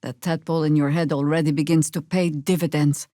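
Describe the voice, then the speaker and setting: An actress playing a seasoned, middle-aged druid woman in a video game, a wry and stern resistance fighter who speaks with measured, commanding dryness. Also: low voice, little pitch movement, mellow, no room sound, studio quality, lightly acted. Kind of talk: video game character dialogue, dramatic acting.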